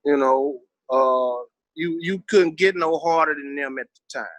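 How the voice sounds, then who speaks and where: A man's voice: fairly high pitch, measured pace, a bit bright, dry recording. A middle-aged man with a Southern American accent, reminiscing casually as an interview guest in an emphatic, slangy, streetwise way peppered with swearing. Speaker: interview guest, veteran rapper.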